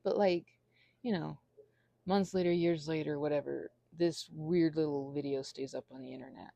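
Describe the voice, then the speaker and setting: Woman, 30s, low and creaky, earnest and halting, intimate ASMR ramble with a self-deprecating streak. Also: fairly even intonation, mellow, dry recording, highs cut off. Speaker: ASMR channel creator. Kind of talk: rambling confessional ASMR monologue.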